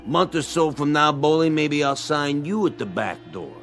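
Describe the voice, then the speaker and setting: An actor playing a sleazy, cynical, cigar-smoking boxing manager in a radio drama, needling people in slangy sneers. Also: male voice, a little high, animated, moderate pace, fairly bright tone, faint music behind. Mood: smug, taunting.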